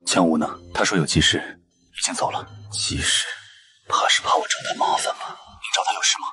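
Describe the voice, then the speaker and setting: An actor playing a suspicious, imperious rich boss who talks in terse demands in an animated drama. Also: male voice, very deep voice, brisk. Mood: suspicious, demanding. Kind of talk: dramatic character dialogue.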